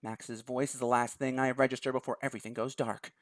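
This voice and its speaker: A video host reading a visual novel aloud and commenting on it in a dramatic, expressive manner. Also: medium pitch, fast talker, no room sound, clean studio sound.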